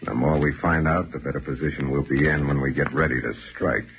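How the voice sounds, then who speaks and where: An actor playing a tough, cool-headed American detective, terse and dry, in a 1940s radio drama. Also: male voice, extremely low pitch, steady tone, brisk. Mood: firm. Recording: dull, noisy old radio recording.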